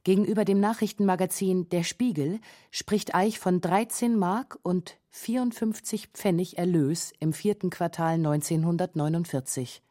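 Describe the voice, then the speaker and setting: Woman narrator for radio documentary; deep for a woman, soft-toned, deliberate. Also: no room sound, clean studio sound.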